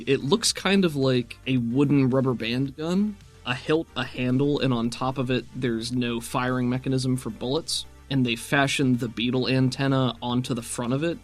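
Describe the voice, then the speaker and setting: A man running a tabletop roleplaying game, moving between descriptive narration and casual rules talk. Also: medium pitch. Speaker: game master.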